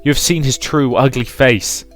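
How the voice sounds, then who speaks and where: Man putting on a theatrical, anguished ghostly mother, raised pitch. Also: moderate pace, natural voice. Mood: bitter, warning. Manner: theatrical, emphatic. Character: possessive, anguished mother's ghost, bitter and pleading. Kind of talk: dramatic visual novel read-aloud. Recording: music behind the voice.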